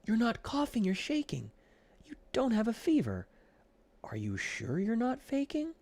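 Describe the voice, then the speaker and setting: A man's voice: extremely high pitch, huge pitch swings, measured pace, a little mellow, voice pushed up, full character voice. A man voicing a worried, earnest little flea brother from a children's storybook, anxious and pleading. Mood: doubtful.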